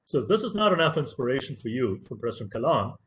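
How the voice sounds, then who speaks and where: A man's voice: mid-range voice, big pitch swings, fast. A man whose first language is Tamil gives an earnest, motivational webinar lecture as a professor, stressing key words and repeating phrases for emphasis.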